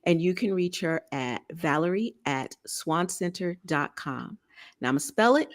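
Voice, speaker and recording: A woman's voice: fairly low pitch, animated, measured pace. A woman with a Texas accent co-hosting a casual talk show, making announcements with joking asides. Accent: Texan. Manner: chatty, joking asides while giving announcements. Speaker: radio show co-host.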